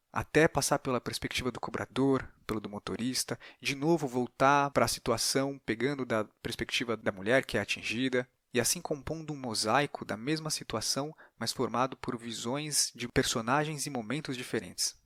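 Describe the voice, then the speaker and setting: A man's voice: mid-range voice, a bit bright, no echo, clean studio sound. A man with a Brazilian accent hosting a podcast, explaining and analysing in an articulate way. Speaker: podcast host.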